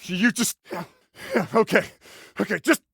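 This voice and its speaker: American big brother in a video game, protective and exasperated, highish voice that swings around a lot, super dry recording. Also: male voice, expressive, slow, gravelly, fairly bright tone, husky, no echo, exaggerated voice. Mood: frantic, straining. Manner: earnest, urgent, dryly sarcastic. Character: protective, exasperated older brother, a by-the-book man. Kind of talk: naturalistic video game voice acting. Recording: dry isolated game voice lines.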